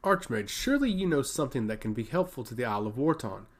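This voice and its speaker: A man's voice: mid-range voice, very animated, quick pace. A man voicing an earnest, respectful envoy pleading his case in a fantasy story.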